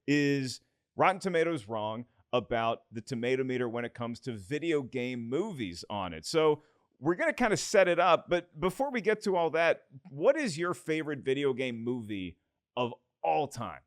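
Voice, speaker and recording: A man's voice: medium pitch, animated, moderate pace. A man hosting a movie podcast with casual banter and personal anecdotes. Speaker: podcast host.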